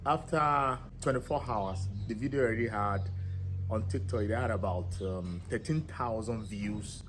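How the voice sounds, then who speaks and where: A man's voice: fairly low pitch, moderate pace, background noise. A man, founder of a cultural channel, giving an impassioned monologue to camera in an emphatic, rousing way. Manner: emphatic and rousing, builds repetitive lists.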